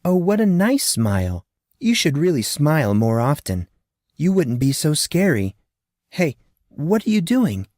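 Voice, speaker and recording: A man's voice: fairly high pitch, sing-song, a little slow, darkish tone, no room sound, clean studio sound, pitched above the performer's own voice. A man voicing an innocent, curious little girl in an audio drama story, chatty and naive, full of questions and exclamations. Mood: delighted, bold.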